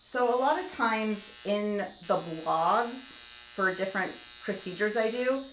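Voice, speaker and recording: A woman's voice: mid-range voice, lively intonation, slow, roomy sound, background noise. A woman in her forties hosting a live skincare stream, chatting casually while she explains each step and reads the chat aloud. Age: 40s. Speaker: skincare livestream host.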